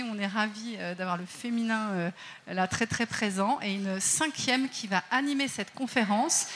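A woman's voice: fairly high pitch, fairly bright tone, a little hiss or hum. Woman formally introducing conference speakers. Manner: hesitant, some fillers. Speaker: event organizer.

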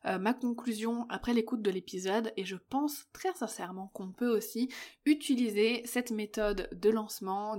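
A woman's voice: mid-range voice, darkish tone. A woman in her thirties hosting a podcast, talking in a friendly, chatty way and speaking directly to the listener. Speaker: podcast host. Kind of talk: podcast hosting, casual interview.

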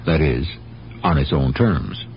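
An actor as the wry, ominous host of a radio mystery, narrating in a deliberate, theatrical way. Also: male voice, rumbling low, lively intonation, creaky, slight background noise, heavily acted. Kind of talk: dramatic scripted radio narration. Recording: dull old radio broadcast.

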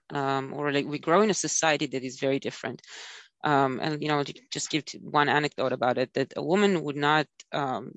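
A woman's voice: low-pitched, no echo, muffled recording. A woman speaking as a panelist in an earnest, conversational way, often saying "you know". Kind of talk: panel discussion.

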